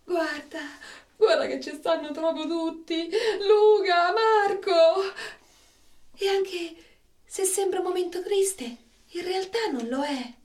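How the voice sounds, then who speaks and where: A woman's voice: very high voice, slow, darkish tone. A woman playing a childlike, dreamy, eccentric cat lover in a radio drama, theatrical and singsong, with a Roman accent. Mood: dreamy, rapturous. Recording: echoey room, distant mic.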